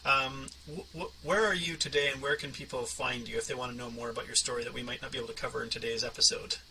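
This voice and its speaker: A man's voice: medium pitch, fairly even intonation, quick pace, vocal fry, sharp tone, far from the mic, a little hiss or hum, low-quality recording. A man hosting an interview podcast and talking conversationally with a guest. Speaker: podcast host and coach.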